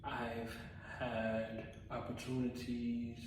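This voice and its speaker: A man's voice: mid-range voice, completely flat delivery, extremely slow, recorded from a distance, background noise. A man in his thirties giving a personal testimony vlog, earnest and repetitive, with frequent ums and self-corrections. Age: 30s. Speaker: vlogger. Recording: very echoey room, voice away from the mic.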